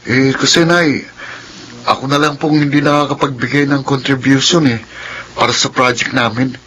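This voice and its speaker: A man's voice: mid-range voice, steady tone, moderate pace, bright, forceful tone, natural voice. A male actor playing an earnest, worried schoolboy son in a Tagalog radio drama. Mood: sheepish.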